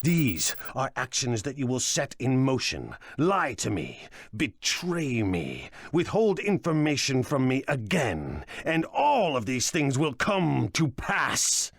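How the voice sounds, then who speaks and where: An actor playing a cunning, ruthless crime lord in a video game, speaking in a measured, condescending and deliberate way. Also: male voice, medium pitch, huge pitch swings, dry recording, studio quality, heavily acted. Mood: menacing. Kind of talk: dramatic video game voice acting.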